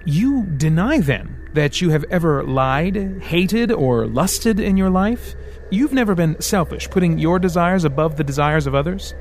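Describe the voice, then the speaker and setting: A man voicing a smooth, sarcastic male devil who teases and threatens by turns in an audiobook. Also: a little high, very animated, normal speed, a little mellow, a little hiss or hum, pitched above the performer's own voice. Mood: taunting. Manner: teasing, sarcastic, theatrical. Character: sly mocking male devil, smooth, menacing.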